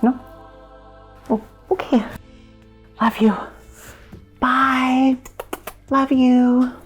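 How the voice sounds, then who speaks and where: A woman's voice: sits high, little pitch movement, drawn-out words, faint music behind, pitched above the performer's own voice. A woman voicing a sweet, clingy, childlike character in a cutesy manner. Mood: sweet, eager.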